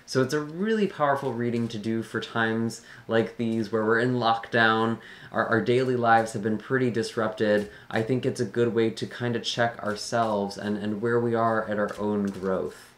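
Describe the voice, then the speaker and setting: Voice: medium pitch, fairly even intonation, moderate pace. A tarot reader hosting a channel and talking casually to viewers, with a slightly scratchy, tired voice. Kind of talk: casual talk introducing a general tarot reading.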